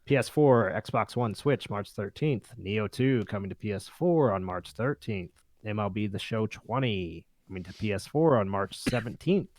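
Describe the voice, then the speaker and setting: A man's voice: fairly low pitch, animated, moderate pace, darkish tone, dry recording. Man reading out lists, dry close sound. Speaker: podcast host. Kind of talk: casual group podcast chat, reading out a list.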